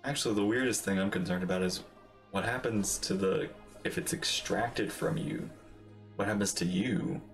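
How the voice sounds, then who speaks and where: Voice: low-pitched, moderate pace. A streamer casually commenting on a game and reading its lines aloud, with game music behind.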